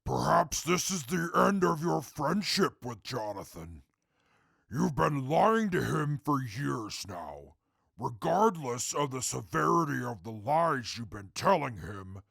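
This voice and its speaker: A pompous, cutting wasteland snob acted by a man in an audio drama. His voice is heavily gravelly and husky, delivered slowly and formally with wide, theatrical swings of pitch. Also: fairly bright tone, no echo, exaggerated voice. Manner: pompous, formal diction, dry condescension. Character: haughty, coldly formal, cutting male wasteland companion. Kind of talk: post-apocalyptic audio drama acting.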